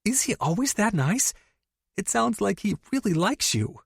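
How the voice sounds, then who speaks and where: A man voicing an excitable young woman best friend who squeals and gushes over video chat in an audiobook. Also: normal speed, vocal fry, breathy edge, no room sound, studio quality, heavily acted. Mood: gushing. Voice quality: shrill, squealing. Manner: bubbly, breathless gushing. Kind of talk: audiobook character voice.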